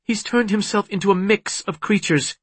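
A man's voice: sits high, normal speed, husky, no echo, voice pushed up. A man voicing a brave, emotional young woman, a daughter in an audiobook. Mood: firm.

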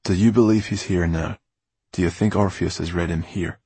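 A man's voice: low voice, steady tone, moderate pace, warm tone, breathy edge, no room sound. A man voicing a wry, weary, secretive fire performer with a sardonic, muttering manner in an audiobook. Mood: probing. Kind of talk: audiobook character dialogue.

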